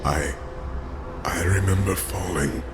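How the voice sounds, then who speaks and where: Confused amnesiac warrior, very deep slow voice, halting, noise behind. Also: male voice, over music. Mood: grave. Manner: halting, hesitant and grave. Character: confused male warrior who lost his memory.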